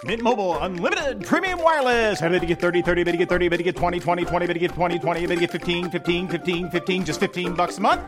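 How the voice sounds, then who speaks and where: A man playing a rapid-chanting auctioneer in an advertisement. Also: high-pitched, over music, natural voice. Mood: excited chanting. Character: rapid-chanting male auctioneer counting prices down.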